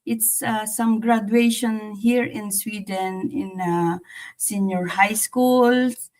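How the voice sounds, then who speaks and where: A woman from the Philippines with a Filipino accent hosts a casual, reflective livestream, talking haltingly and repeating her phrases. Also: medium pitch, fairly even intonation, a little mellow. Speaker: livestream host.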